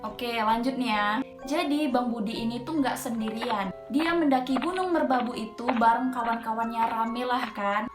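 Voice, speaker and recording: A woman's voice: a little high, normal speed. A young woman with a Medan accent, telling a mountain ghost story in a chatty, slangy way with lots of filler words. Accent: Medan Indonesian. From Medan, Indonesia. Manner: chatty, slangy, tosses in frequent filler interjections. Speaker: storyteller host. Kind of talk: casual horror storytelling. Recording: echoey room with background music.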